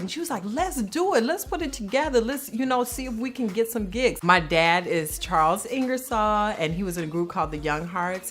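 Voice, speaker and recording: A woman's voice: medium pitch, fairly bright tone. A woman singer talking casually in an interview, telling personal stories in everyday words. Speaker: singer in a family group. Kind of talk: casual reality-show interview.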